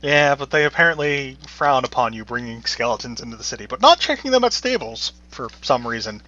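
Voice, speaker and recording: Laid-back male game master: bright, forceful voice, lots of fillers, dull lo-fi sound. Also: medium pitch, brisk. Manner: relaxed, laid-back narration with frequent fillers. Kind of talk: narrating a tabletop game. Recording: dull lo-fi recording.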